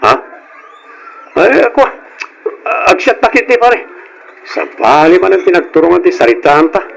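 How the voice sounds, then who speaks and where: Radio drama role: brash, evasive young womanizer played by a man, high-pitched with heavy creak, casual and defensive. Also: sing-song, normal speed, a bit bright, a little hiss or hum, full character voice, rough recording. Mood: boastful, casual. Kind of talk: old-style radio drama acting.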